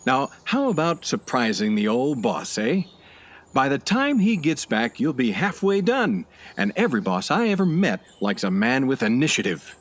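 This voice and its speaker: An actor in a radio play voicing a breezy, self-assured man who bluffs expertise in a folksy, smooth-talking way. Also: mid-range voice, big pitch swings, normal speed. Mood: folksy, cocky. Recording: faint outdoor bird and insect ambience.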